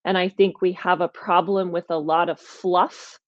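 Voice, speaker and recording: A woman's voice: on the low side, level tone, moderate pace, no room sound, highs cut off. A woman hosting a conversational podcast, talking with energy and emphasis and thinking aloud as she goes. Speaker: podcast host.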